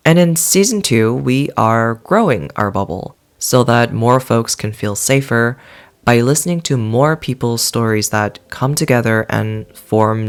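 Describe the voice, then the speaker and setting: A man's voice: medium pitch, clear, resonant tone. Earnest podcast host, a man. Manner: earnest, enthusiastic, sincere. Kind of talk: warm scripted podcast intro.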